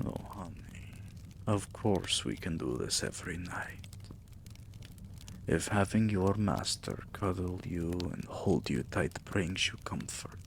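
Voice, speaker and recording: Man as a very deep, slow, doting vampire king. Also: expressive, gravelly, a little hiss or hum, heavily acted. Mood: doting, commanding. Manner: soothing, intimate, slow and murmuring, often whispering. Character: doting, possessive vampire king, tender and dominant. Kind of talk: ASMR romantic roleplay.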